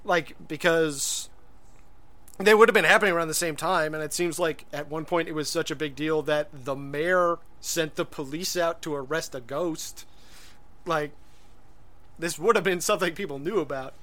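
A man's voice: a little high, normal speed, sharp tone. A man co-hosting a podcast in casual chat, rambling and hesitant, full of fillers and restarts. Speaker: podcast co-host.

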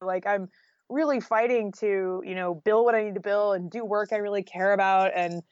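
A woman's voice: medium pitch, steady tone, normal speed, a little mellow, no room sound. American woman, candid talker on dull audio. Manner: candid, informal, peppered with "like" and "you know". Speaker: guest, freelance journalist. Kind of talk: casual interview conversation.

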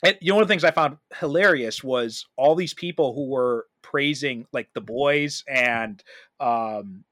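A man's voice: medium pitch, a little slow, fairly bright tone, no room sound, clean studio sound. A man hosting a podcast, talking in a casual, conversational way. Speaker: podcast host.

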